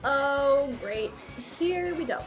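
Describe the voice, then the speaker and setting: A woman with a high-pitched voice delivers an opinionated video review. She talks conversationally and lets her exasperation show. Music plays underneath her commentary. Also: expressive, slow pace, creaky. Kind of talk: cartoon review commentary with live reactions. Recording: voice-over with background music.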